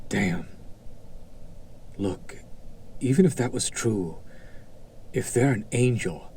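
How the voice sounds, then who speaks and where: Man playing a slow, low-voiced haughty demon. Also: darkish tone, breathy edge, exaggerated voice. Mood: cool skepticism. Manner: drawling, condescending, theatrically skeptical. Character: haughty, sardonic male demon buyer at an auction. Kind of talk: scripted roleplay audio drama acting.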